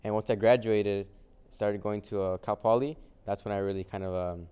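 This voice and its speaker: Mellow, smooth young man. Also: on the low side, moderate pace. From Mexico. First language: Spanish. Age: young adult. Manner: relaxed, many fillers like "um" and "like". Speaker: guest, vintage clothing reseller. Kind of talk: casual interview conversation.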